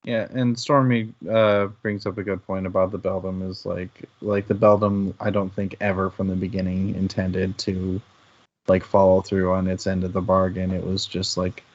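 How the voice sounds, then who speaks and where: A man with a low voice chats casually as co-host of a book discussion. He rambles and restarts his thoughts as he works through them. The audio is somewhat dull and band-limited. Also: flat delivery, normal speed, a little mellow.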